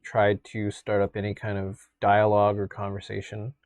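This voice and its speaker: A man's voice: on the low side, completely flat delivery, moderate pace, a little mellow, dry recording. A man playing in a tabletop game, talking hesitantly and filling gaps with "like". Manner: hesitant, full of "like". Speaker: player. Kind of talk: casual tabletop game chat.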